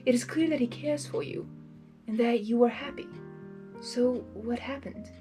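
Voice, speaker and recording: A woman voicing a calm, regal female pony princess in a storybook reading, softly and formally, with a frank, probing manner. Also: a little high, over music, close to an everyday voice. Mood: calm, concerned. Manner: soft, measured, formal, frank.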